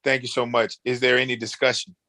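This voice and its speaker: A man's voice: medium pitch, little pitch movement, brisk, sharp tone, no room sound. A man chairing a virtual board meeting, speaking in formal procedural phrases with a polite, somewhat hesitant delivery.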